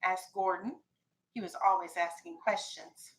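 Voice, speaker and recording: A woman teacher reading a storybook aloud to young children, animated, stopping to explain and ask questions. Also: lowish voice, unhurried, low-quality recording. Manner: animated, explains and checks understanding. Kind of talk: expressive children's read-aloud with questions to the class.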